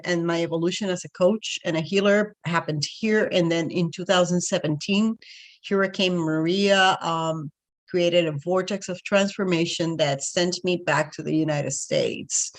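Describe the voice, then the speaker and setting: A woman with a Puerto Rican accent, speaking as a spiritual coach in an unscripted talk. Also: lowish voice. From Puerto Rico.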